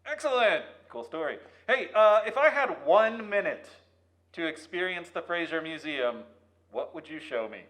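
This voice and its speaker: Lively high-voiced male interviewer. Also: normal speed. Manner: quippy, bantering. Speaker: interviewer, host. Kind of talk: casual, jokey interview chat.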